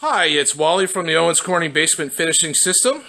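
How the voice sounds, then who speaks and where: A man's voice: on the high side, expressive, fast, bright, forceful tone. A man working as a company representative, conducting a promotional testimonial interview from off camera in a basement.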